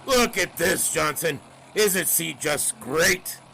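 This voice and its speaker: Gravelly newspaper boss, forceful, emphatic salesman patter, vintage radio drama, faint noise. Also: on the high side, expressive, slow, heavily acted. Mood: excited, boastful. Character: brash, gadget-mad newspaper editor-in-chief, enthusiastic father. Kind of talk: old-time audio drama acting.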